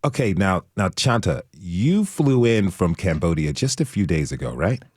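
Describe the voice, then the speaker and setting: A man's voice: sits low, big pitch swings, moderate pace, a little mellow, slightly rough, no echo, studio quality. A man hosting a radio show, reading introductions and interviewing guests. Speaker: radio host.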